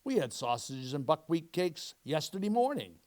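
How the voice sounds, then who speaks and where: A man voicing a weary mother who speaks in a low, calm voice. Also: normal speed. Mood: patient.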